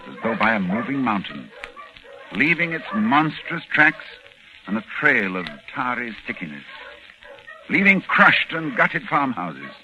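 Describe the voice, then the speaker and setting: An actor playing a grave, anxious scholarly doctor battling a monster, urgent and dramatic in 1940s radio style. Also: male voice, mid-range voice, sing-song, unhurried, pushed and bright, muffled recording, heavily acted. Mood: grave foreboding. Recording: vintage 1940s radio recording with music.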